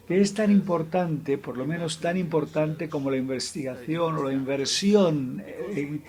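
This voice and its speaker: Measured man with a raised voice. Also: on the high side, darkish tone. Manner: measured, with occasional hesitant fillers. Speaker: speaker introducing a lecture. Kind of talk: formal lecture introduction. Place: lecture hall.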